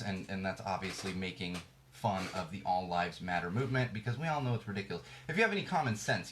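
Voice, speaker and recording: A man's voice: lowish voice, fairly bright tone, slight background noise. Blunt ranting male podcast host. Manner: blunt, ranting, frequent restarts. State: slightly losing his voice. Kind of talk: ranting political commentary.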